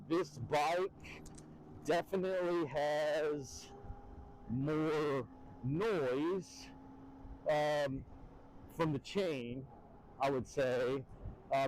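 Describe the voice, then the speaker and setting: Deep-voiced man riding an e-bike. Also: a little mellow. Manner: rambling, many fillers. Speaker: e-bike vlogger. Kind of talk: casual ride-along review. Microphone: helmet mic. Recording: wind-muffled helmet mic.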